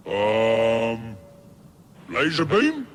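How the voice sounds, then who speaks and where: A man's voice: medium pitch, huge pitch swings, very slow, a little hiss or hum, heavily acted. An actor playing a big, boastful, dim-witted battle robot in a radio sci-fi comedy, blustering and stammering as he puzzles things out. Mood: eager, puzzled.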